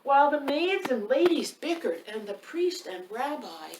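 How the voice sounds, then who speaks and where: Casting: woman storytime reader, lively intonation, slightly high voice, speaking directly to kids. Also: measured pace. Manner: addresses young listeners with questions. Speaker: author and storytime host. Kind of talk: children's picture-book read-aloud. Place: indoor room by a wood stove. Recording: roomy, distant mic, faint noise.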